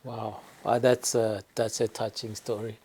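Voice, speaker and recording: A man's voice: fairly low pitch, flat delivery, measured pace, dark tone, breathy edge. A man hosting an interview, asking questions hesitantly and repeating words as he goes. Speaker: interview host.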